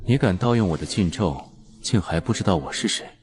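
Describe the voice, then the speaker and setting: A proud, powerful man, voiced by a male actor, cold and imperious. His low voice moves widely in pitch as he delivers curt, scornful lines in a dramatised novel style. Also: expressive, fairly quick, a little mellow.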